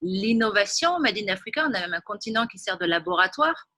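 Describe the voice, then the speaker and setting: Bright-voiced woman interviewer, dry close sound. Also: medium pitch, lively intonation, fairly quick, no echo. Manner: fluent, structured questions with fillers. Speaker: interviewer, host. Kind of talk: interview questions.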